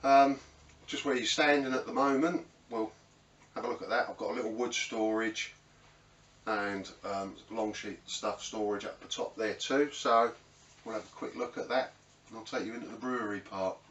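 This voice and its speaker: Middle-aged British man, lowish voice, easygoing workshop host, recorded away from the mic in a small room. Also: steady tone, normal speed, fairly bright tone. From United Kingdom. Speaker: host of a woodworking channel. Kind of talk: casual workshop tour, unscripted. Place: small cluttered indoor home workshop. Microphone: camera mic a few metres away. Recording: roomy, distant mic, faint background noise.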